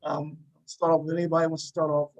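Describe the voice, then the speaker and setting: A man hosting a casual cast interview, halting and repeating words as he forms his questions. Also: on the high side, flat delivery, rough recording. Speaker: talk show host and cast member.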